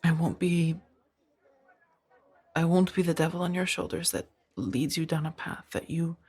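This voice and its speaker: A woman playing a gentle, earnest Jedi in a soft-spoken ASMR roleplay, speaking in a hesitant, breathy murmur. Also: low-pitched, moderate pace. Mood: solemn, torn. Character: gentle, earnest young female Jedi, tenderly conflicted.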